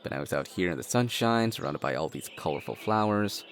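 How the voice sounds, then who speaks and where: Audio drama role: sensible, wry adult brother, lowish and expressive, with music behind him. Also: male voice. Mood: reasoning. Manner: measured, wry, reasoning. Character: level-headed, dorky adult brother from a wealthy family.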